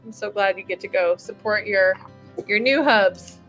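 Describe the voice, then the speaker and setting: A woman's voice: medium pitch, moderate pace, a bit bright, soft background music, poor audio. A woman hosting a webinar in casual chat over a video call, bubbly and laughing often. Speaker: webinar host.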